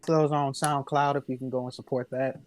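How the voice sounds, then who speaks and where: A man talking casually as a podcast panelist, halting and pausing between short phrases. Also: mid-range voice, little pitch movement. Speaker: podcast panelist, music producer. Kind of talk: casual chat.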